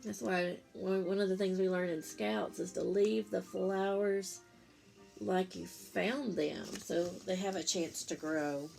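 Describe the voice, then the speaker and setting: A woman's voice: medium pitch, fairly even intonation, a little slow, creaky, darkish tone, soft background music, low-quality recording. A woman, a teacher reading a picture book aloud to young children, with simple explanatory asides and questions for her listeners.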